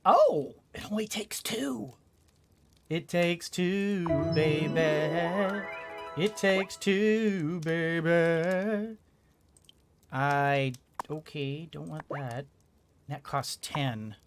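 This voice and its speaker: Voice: mid-range voice, animated, very slow, over music. A gamer giving casual let's play commentary, thinking aloud and often repeating words. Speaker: gaming video host.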